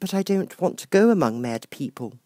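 Man as a high-voiced, timid little girl. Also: sing-song, fairly quick, mellow, no echo, clean studio sound. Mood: puzzled. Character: polite, timid, curious young girl.

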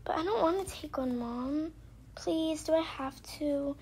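Woman as young daughter, pleading and defiant, pitched high, homemade roleplay with some background noise. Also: unhurried, a little mellow. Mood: whiny. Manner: whining, pleading, argumentative. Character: whiny, defiant young daughter who hates school. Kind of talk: pretend-play family roleplay.

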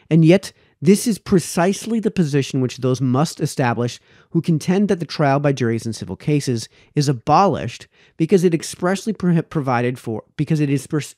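A man in his thirties reading an old essay aloud in a careful, measured way, stumbling now and then, close to the microphone. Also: fairly high pitch, a little mellow, dry recording. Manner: careful, measured, stumbles over words and rereads them. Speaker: reader, host of a read-aloud series. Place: small indoor home study. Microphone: close microphone on a boom arm.

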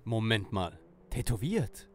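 A man's voice: fairly low pitch, sing-song, takes it slowly, full character voice. A man playing an earnest, commanding young detective in a German audio drama parody. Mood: decisive.

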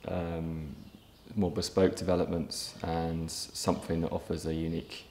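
A man in his thirties, a property developer giving a documentary interview, speaking in a measured way with frequent ums and restarts. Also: low-pitched, little pitch movement, a little mellow, a little hiss or hum. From England. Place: empty brick factory room, indoors, large. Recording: echoey empty room, faint ambience.

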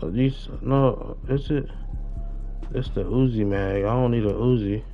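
A man's voice: mid-range voice, unhurried, faint music behind. A young American man chatting casually and slangily on a gaming livestream, often muttering to himself. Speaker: live streamer. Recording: dull lo-fi streaming mic.

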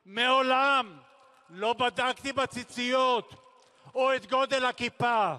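A man delivers a formal rally speech through a big, reverberant public-address system. His voice is very high for a male and has a bright, forceful edge. He speaks solemnly and declaims in deliberate phrases, leaving weighty pauses between them. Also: clean tone. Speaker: speaker at a memorial rally, former military commander.

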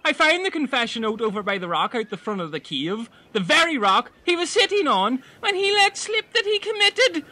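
A man's voice: very high-pitched, big pitch swings, normal speed, hardly any pauses, sharp tone, heavily acted. A man playing a hot-headed, paranoid castaway detective in a comic audio drama, bossy and over-dramatic. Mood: accusing.

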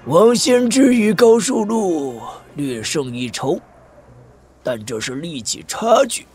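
A man playing an eccentric, cynical old sage in a wuxia audio drama, with a creaky voice and theatrical, mocking delivery. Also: sits high, expressive, takes it slowly, darkish tone, slight background noise. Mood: dismissive. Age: older.

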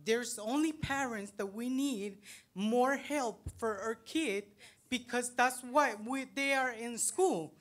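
Earnest woman with a Salvadoran Spanish accent. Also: a little high. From United States, raised in El Salvador. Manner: earnest, halting English with searching pauses. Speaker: parent speaking at a public meeting. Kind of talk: plain-spoken public comment. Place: library auditorium. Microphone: handheld microphone.

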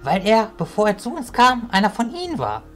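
A man's voice: high voice, wildly swooping pitch, brisk, faint music behind. A man playing a suspicious, accusing illusionist in a fantasy audio drama, speaking in a pointed, challenging way. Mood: indignant.

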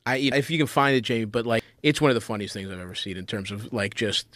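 A man in his thirties, a comedian guest on a podcast, talking in casual, animated chat, swearing often and gushing with enthusiasm. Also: on the low side, fast talker, no echo. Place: studio with red curtain. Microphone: studio mic, wearing headphones.

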